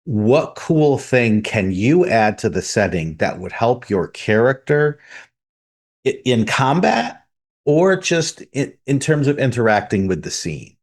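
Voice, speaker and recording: A man's voice: medium pitch, big pitch swings, slow. A man hosting a podcast, methodically explaining his points in order. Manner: methodical, lays out numbered points. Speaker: podcast host.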